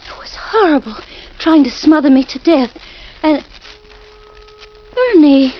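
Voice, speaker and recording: An actress playing a nervous young wife shaken by a nightmare, breathless and pleading, in 1940s radio acting style. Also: female voice, high-pitched, lively intonation, measured pace. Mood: anxious. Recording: muffled old radio recording with hiss.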